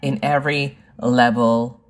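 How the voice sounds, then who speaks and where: A woman hosts her own podcast, telling a personal story in a thoughtful, halting way. Her voice sits very low for a woman, and she speaks slowly with frequent pauses. She is from Peru and in her thirties or forties. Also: steady tone. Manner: slow, reflective, with many pauses and fillers. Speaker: podcast host and English teacher.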